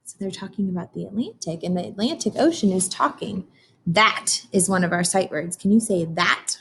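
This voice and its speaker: A woman's voice: medium pitch, moderate pace, gravelly, fairly bright tone, slight background noise. American woman teacher reading to children. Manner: instructional, prompting young listeners with questions. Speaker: teacher reading a picture book aloud. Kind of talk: children's read-aloud with simple explanations and questions.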